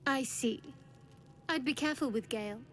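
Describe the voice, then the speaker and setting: A woman's voice: high voice, normal speed, fairly bright tone. An actress playing a stern, wary warrior woman in a fantasy video game, curt, proud and dry. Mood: suspicious.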